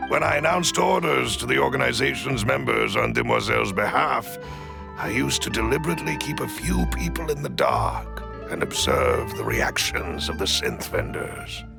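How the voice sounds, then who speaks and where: An actor playing a grave, loyal butler in a video game, speaking formally and deferentially with a gravelly edge. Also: male voice, mid-range voice. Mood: humble, measured. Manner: formal, deferential, measured explaining. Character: loyal, grave male butler and shrewd confidant. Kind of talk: dramatic video game cutscene acting.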